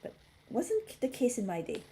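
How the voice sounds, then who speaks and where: Middle-aged woman, warm-toned, chatty, casual livestream chat, faint background hiss. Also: fairly high pitch, wildly swooping pitch, normal speed. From Singapore. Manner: chatty, reads and answers chat comments. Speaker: puzzle livestream host.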